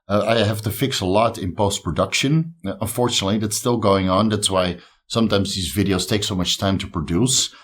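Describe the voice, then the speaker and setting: Man, dutch accent, fairly low voice, slight speech impediment, upbeat chat to camera. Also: brisk, few pauses. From the Netherlands. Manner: upbeat, encouraging. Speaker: YouTube host. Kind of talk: casual talk to camera.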